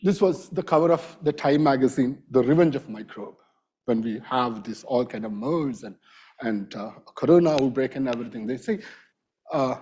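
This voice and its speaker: A middle-aged man, lecturing informally in a hall while raspy with a bad cold. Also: medium pitch, lively intonation, dark tone. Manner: informal, self-deprecating, halting. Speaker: invited lecturer, wildlife vet. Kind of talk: academic lecture.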